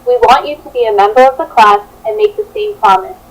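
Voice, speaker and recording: Woman, young adult, fairly high pitch, student teacher, scripted read-out presentation. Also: sing-song, fast, poor audio. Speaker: student teacher presenting a children's book project.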